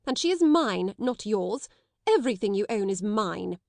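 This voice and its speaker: A woman's voice: a little high, lively intonation, normal speed, dry recording. A woman voicing a stern, domineering middle-aged schoolmistress in an audiobook, cold and scolding, in a dry recording. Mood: harsh. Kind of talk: audiobook character voice.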